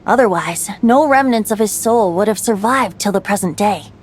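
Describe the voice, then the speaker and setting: A woman's voice: medium pitch, normal speed, slight background noise, close to an everyday voice. A woman voicing a playful young male bard who tells tales in a lilting, lyrical way. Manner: lilting, teasing, then lyrical storytelling. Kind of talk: video game voice acting.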